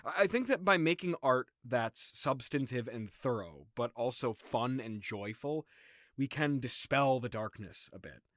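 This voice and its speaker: A man playing himself in a comedy skit, talking casually in a plainspoken, deadpan way. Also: mid-range voice, unhurried, no room sound, clean studio sound. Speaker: founder of an audio production company, playing himself.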